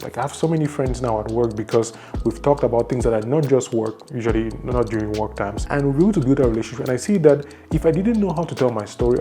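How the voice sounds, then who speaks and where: Young Cameroonian man, upbeat advice vlogger, brisk and warm-toned, light music bed. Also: mid-range voice. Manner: enthusiastic, conversational, lists numbered tips. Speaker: YouTuber. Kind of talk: advice vlog.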